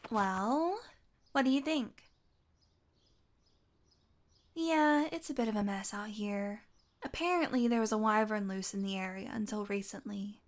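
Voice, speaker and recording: A woman's voice: medium pitch, moderate pace, natural voice. A woman playing a possessive fantasy healer in an audio roleplay, teasing and condescending, coaxing the listener with sweet menace and a domineering streak. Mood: pleased, coaxing.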